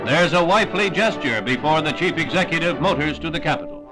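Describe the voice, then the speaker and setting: High-pitched American newsreel announcer man. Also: fast talker, poor audio. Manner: formal, declamatory announcer delivery. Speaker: newsreel narrator. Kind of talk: 1960s newsreel scripted narration. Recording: 1960s newsreel soundtrack with music. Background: newsreel music.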